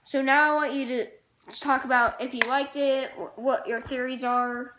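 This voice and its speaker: A woman co-reviewing an episode in casual chat, recounting it in an informal, halting way. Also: sits high, level tone, a bit bright, a little hiss or hum.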